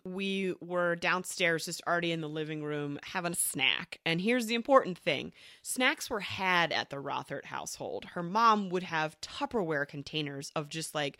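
A woman's voice: fairly low pitch, expressive, unhurried, fairly bright tone, no room sound, clean studio sound. A woman telling casual comedic anecdotes as a guest storyteller, animatedly acting out what people said.

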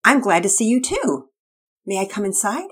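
A woman's voice: mid-range voice, big pitch swings, normal speed, a bit bright, breathy edge, voice pushed up. A woman voicing a polite, friendly neighbor lady in a read-aloud story. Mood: gracious.

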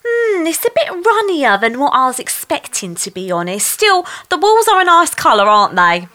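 A woman's voice: high voice, sing-song, normal speed, bright, forceful tone, exaggerated voice. A woman playing a cheerfully clueless amateur handy partner in a comedy sketch. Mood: cheerfully oblivious.

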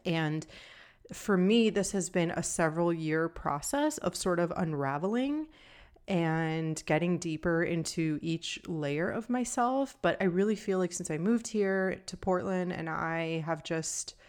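Woman podcast host, young adult, calm and even, lowish voice, solo casual talk. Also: a little slow, smooth. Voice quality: calm, somewhat monotone, deeper voice. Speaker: podcast host and nutrition coach.

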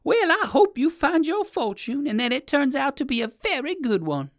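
Woman putting on a high-pitched, kindly crow. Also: very animated, normal speed, no echo, studio quality. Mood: friendly.

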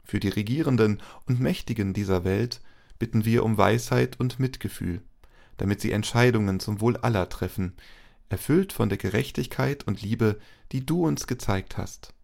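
A man with plenty of vocal fry speaks in a lowish register. He leads liturgical prayer and blessing in a solemn way, setting out each phrase deliberately. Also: darkish tone. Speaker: service leader, reads intercessions and blessing.

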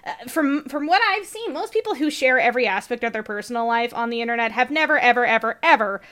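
Woman YouTuber, casual chat; high chipmunk-like voice, bubbly and fast, laughs often. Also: expressive, a bit bright. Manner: high-energy, laughs often, fillers like "um" and "you know".

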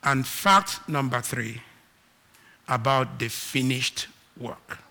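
A man from Nigeria with a Nigerian accent, preaching a sermon and moving between loud emphatic declarations and quiet asides. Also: mid-range voice, slow, creaky, a little hiss or hum.

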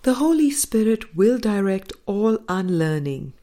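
A woman reading a spiritual book aloud as a scripted narration, in measured, deliberate phrases with pauses. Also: mid-range voice, very animated, mellow. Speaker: audiobook reader.

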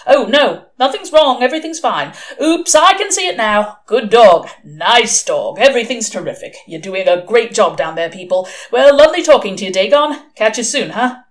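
A woman's voice: lowish voice, expressive, fairly quick, a little mellow, natural voice. A woman doing a sardonic male demon. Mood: bluffing. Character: smooth sardonic male demon, cool and evasive.

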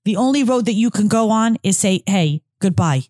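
A woman's voice: medium pitch, expressive, normal speed, a little mellow, dry recording, clean studio sound. A woman co-hosting a podcast, giving blunt, emphatic relationship advice. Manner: emphatic, blunt, preachy advice with repeated phrases. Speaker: podcast co-host and advice author. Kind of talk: casual advice chat.

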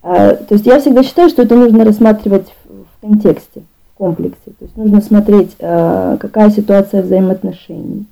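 A woman guest on a podcast, explaining thoughtfully with pauses and restarts. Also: mid-range voice, vocal fry, dark tone, low-quality recording. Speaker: guest expert on bilingualism. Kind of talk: casual podcast conversation.

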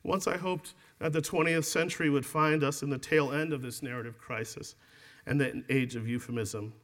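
Author at a reading event, male, mid-pitched, measured and deliberate delivery. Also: fairly even intonation, fairly bright tone. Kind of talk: reading from his book, then conversation. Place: event space with an audience.

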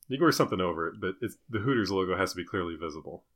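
Man with a lively, swinging pitch. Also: racing. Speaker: podcast co-host. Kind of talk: casual, joking chat posing would-you-rather questions.

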